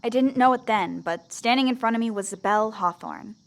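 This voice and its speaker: A woman's voice: fairly high pitch, normal speed, gravelly. A woman playing a bewildered teenage zombie girl who dramatically narrates her own story in an audio drama. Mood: wry storytelling. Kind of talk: scripted first-person audio drama narration.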